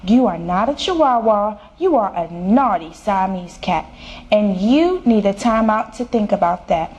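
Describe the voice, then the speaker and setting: A woman voicing a stern but fond mother cat in a children's storybook, firm and coaxing with drawn-out words. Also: mid-range voice, expressive, darkish tone, lightly acted. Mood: scolding.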